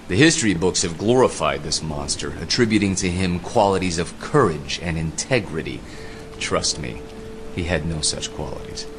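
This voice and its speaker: An actor in a radio play playing a captivating history professor who lectures with measured, theatrical intensity. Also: male voice, rumbling low, slight background noise. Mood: bitter contempt.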